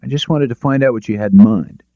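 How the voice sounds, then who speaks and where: A man's voice: medium pitch, fast, dark tone, no room sound, muffled recording, voice pushed up, natural voice. A man voicing a composed, probing lawyer who speaks directly, in a detective audiobook. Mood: polite. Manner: direct, questioning. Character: shrewd, composed, probing male defence lawyer.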